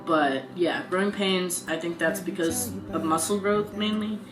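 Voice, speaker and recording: A young man talking casually in a video diary, pausing often and filling gaps with "um". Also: sits high, low-quality recording. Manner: halting, frequent ums. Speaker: vlogger. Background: guitar music.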